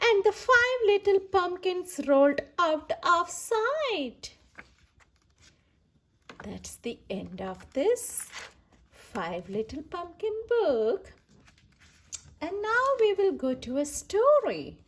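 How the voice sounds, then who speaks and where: A woman hosts a children's read-aloud in a high voice. Her intonation moves up and down a lot, and she takes her time, stressing repeated words. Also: sing-song, slow pace, pauses often, slight background noise. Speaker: children's story reader and host.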